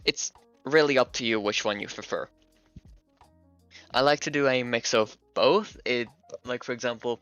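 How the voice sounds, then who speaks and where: A lower-pitched man from Hungary, a native Hungarian speaker, chats casually on his livestream while he works. His voice is bright and forward, and he laughs often. The sound is dry and a bit dull, with faint music behind. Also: clear, resonant tone, no room sound. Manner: relaxed, chatty, thinks aloud, laughs often. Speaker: streamer and game developer.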